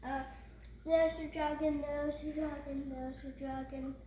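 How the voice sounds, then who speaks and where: Female, high voice, casual, halting, repetitive, roomy echo, noisy background. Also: flat delivery, dark tone. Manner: simple, repetitive, halting phrases. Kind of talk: casual, unscripted pointing out of picture details.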